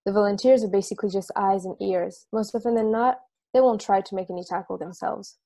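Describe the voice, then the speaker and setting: A woman reading a calm, wry football player. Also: medium pitch, a little fast, creaky. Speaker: actor in a table read. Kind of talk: amateur video-call table read.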